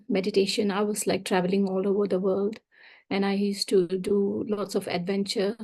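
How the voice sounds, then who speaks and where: Hesitant, creaky-voiced woman from Singapore. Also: medium pitch, mellow. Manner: hesitant, frequent ums and pauses. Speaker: guest speaker. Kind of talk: informal personal storytelling.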